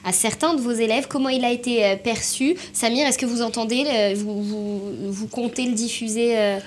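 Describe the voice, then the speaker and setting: A woman hosting a live television morning show, interviewing and presenting with measured, sometimes hesitant phrasing. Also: fairly high pitch, fairly even intonation, a little hiss or hum. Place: television studio.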